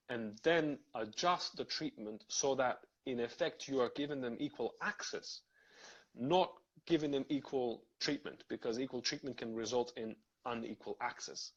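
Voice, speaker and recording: A man talks through ideas in a casual live chat, pausing on fillers and circling back on his words. His voice is a touch husky, and the stream audio sounds dull and lo-fi. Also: medium pitch. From Serbia. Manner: thoughtful, many fillers and restarts.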